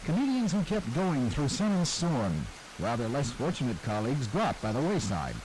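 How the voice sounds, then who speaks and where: A man's voice: mid-range voice, darkish tone, low-quality recording. A man reading a scripted narration for a documentary-style program. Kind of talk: scripted narration about radio history.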